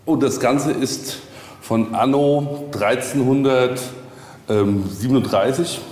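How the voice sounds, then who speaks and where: A man explaining as a tour guide, in a slightly halting, informative way. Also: medium pitch, darkish tone. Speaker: castle leaseholder, tour guide. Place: small castle chapel. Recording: echoey stone room, faint ambient noise.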